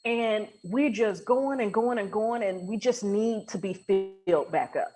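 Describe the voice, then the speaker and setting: A woman's voice: medium pitch, unhurried, music in the background. A woman speaking as a guest coach in a casual podcast conversation, earnest and colloquial, with emphatic phrasing.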